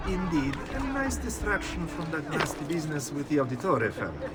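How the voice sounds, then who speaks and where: A man's voice: on the high side, sing-song, fairly quick, far from the mic, loud music behind the voice, over a noisy crowd. An actor playing a fawning, consoling male party guest in a video game.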